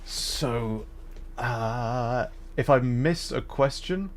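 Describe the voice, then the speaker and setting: A man hosting a gaming livestream in an average-pitched voice. He talks casually and informally, sometimes repeating himself as he chats to viewers. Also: very slow.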